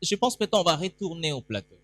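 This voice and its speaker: A man's voice: fairly high pitch, sing-song, fast talker, no room sound. A man who is a pastor moderating a reading event on stage, announcing guests and calling for applause. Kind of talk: event hosting.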